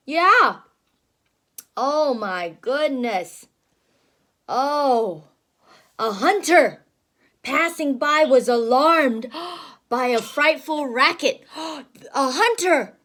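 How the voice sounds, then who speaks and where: A woman teacher reading a storybook aloud to a young child, expressive and exaggerated, with simple asides. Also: high-pitched.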